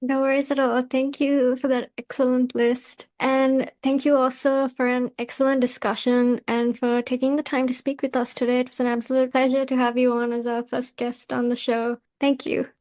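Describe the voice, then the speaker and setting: A woman's voice: high-pitched, little pitch movement, moderate pace, few pauses, a little mellow. A woman hosting an interview podcast, politely delivering prepared questions and remarks. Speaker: podcast host.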